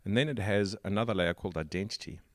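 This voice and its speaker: Man, South African accent, podcast host, very low voice, dry close sound, unhurried explaining. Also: dry recording. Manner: explains at length with pauses and fillers. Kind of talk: casual tech podcast chat.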